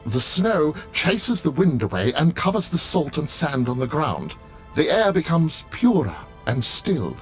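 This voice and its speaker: An actor playing a dutiful, courteous attendant who speaks formally and ornately in a video game. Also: male voice, fairly high pitch, sing-song, a little slow, soft background music. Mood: courteous, solemn.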